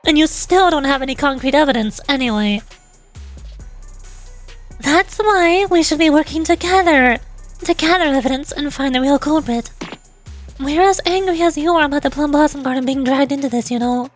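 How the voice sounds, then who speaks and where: Self-assured female gang president, voiced by a woman streamer, easygoing yet defiant, high, faint music behind. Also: moderate pace, close to an everyday voice.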